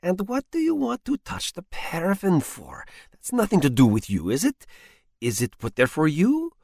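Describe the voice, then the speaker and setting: A man voicing a shrill, stingy spinster housekeeper who scolds in rapid-fire bursts. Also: big pitch swings, no echo, studio quality. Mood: scolding. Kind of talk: audiobook character voice.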